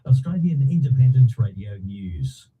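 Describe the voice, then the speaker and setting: A man reading a scripted radio news bulletin in a measured, even way. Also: mid-range voice, far from the mic, narrow, tinny audio, slight background noise. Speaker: radio newsreader.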